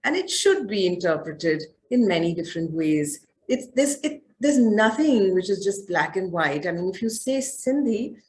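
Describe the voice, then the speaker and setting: A woman's voice: medium pitch, expressive, moderate pace, hardly any pauses. Chatty woman author with restarts. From southern India. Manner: conversational, many fillers and restarts. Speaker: author speaking to a book club. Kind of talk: casual talk.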